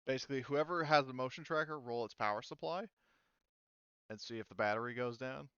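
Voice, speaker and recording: Man, game master, patient rule explainer, smooth voice, dry lo-fi call mic. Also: medium pitch, fairly quick, fairly bright tone, no room sound. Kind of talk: explaining rules in a tabletop game.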